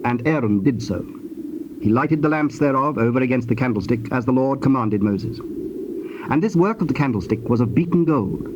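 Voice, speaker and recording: A man with a British accent reads scripture as a formal narrator, measured and precise. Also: mid-range voice, lively intonation. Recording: dull, noisy old cassette tape.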